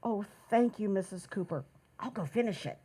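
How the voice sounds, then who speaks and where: A woman's voice: mid-range voice, expressive, normal speed, mellow, breathy edge. A woman playing a bashful, sentimental household maid in 1940s radio comedy, hesitant and dreamy in manner. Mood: nervous, eager.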